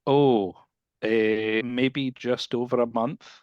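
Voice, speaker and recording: A man's voice: medium pitch, slow, smooth, no echo, highs cut off. A man in his thirties with a Scottish accent, a guest talking casually about his work in support, with "kind of" fillers. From Scotland. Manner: relaxed and conversational, with "kind of" fillers. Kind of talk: casual video call interview.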